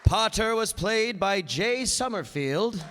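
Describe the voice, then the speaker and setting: High-pitched man announcing in a hall. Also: expressive, takes it slowly, crowd noise. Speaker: announcer and narrator. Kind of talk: live stage announcing to audience. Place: hall with live audience. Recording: echoey hall, audience and faint noise.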